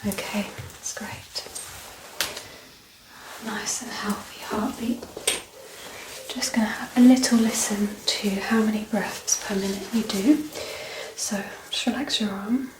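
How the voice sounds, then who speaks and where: A woman speaking softly and gently, often near a whisper, as a doctor in an ASMR medical exam roleplay. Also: mid-range voice, fairly even intonation, slow pace, pauses often. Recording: roomy echo, distant mic, clear background noise.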